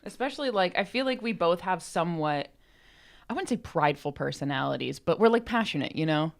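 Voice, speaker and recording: An American woman with a low voice chats casually as a podcast co-host. She is wry and quick to joke, often at her own expense. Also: expressive, vocal fry. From United States. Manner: wry, joking, self-deprecating. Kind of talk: casual chat.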